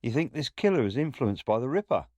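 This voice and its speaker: A man's voice: mid-range voice, very animated, quick pace, darkish tone, no room sound, lo-fi sound, lightly acted. An actor playing a matter-of-fact male police inspector, grimly reporting on cases in an audio play. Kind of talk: audio drama acting.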